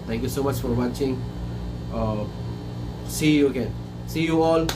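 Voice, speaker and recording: A man's voice: mid-range voice, measured pace, distant mic, music in the background. A man in his thirties with a Filipino English accent, a chef hosting a casual livestream, chatty and repeating himself, slipping into Filipino now and then. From Philippines. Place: small room indoors. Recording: noisy room with air conditioner hum. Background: air conditioning hum.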